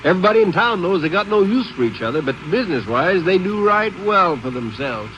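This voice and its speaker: An American actor playing a folksy, gossipy small-town Texas sheriff in an old-time radio drama, easygoing and colloquial. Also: male voice, high voice, sing-song, fairly quick, fairly bright tone. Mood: chatty, curious. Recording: muffled, noisy old radio broadcast.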